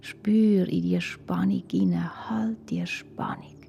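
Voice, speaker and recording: Woman, Swiss German accent, calm breathy hush, lowish pitch, gravelly fry, guided relaxation. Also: slow, slight background noise. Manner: calm, soothing, hushed and breathy. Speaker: guided relaxation instructor for children. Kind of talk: slow guided yoga nidra meditation. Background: soft music.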